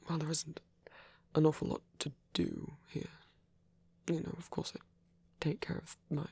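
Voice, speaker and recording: A performer acts out a devout, caring male vampire priest in an intimate ASMR roleplay. His voice is very breathy and often whispered, with a creaky edge. He speaks gently and thoughtfully, in measured, slightly formal phrases at a medium pitch. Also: steady tone, dry recording, full character voice. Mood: gentle, reserved.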